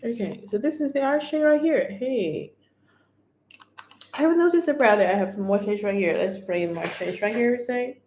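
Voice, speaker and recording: A woman's voice: fairly high pitch, expressive, normal speed, noisy background. Chatty Thai-accented woman in an echoey room. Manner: chatty, keeps repeating "right here", upbeat sales talk. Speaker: beauty livestream host. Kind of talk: casual product demo livestream.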